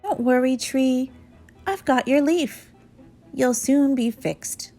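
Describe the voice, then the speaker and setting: A woman's voice: high-pitched, slow pace, dark tone, soft background music, voice pushed up. A woman voicing an earnest, worried young male fox cub who pleads and protects in a storybook reading. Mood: reassuring.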